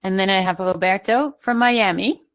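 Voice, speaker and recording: A woman moderates an online video call, chatting casually as she introduces each guest. Her talk is friendly and loose, with little fillers and quick apologies. Her pitch sits at a middling height. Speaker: host.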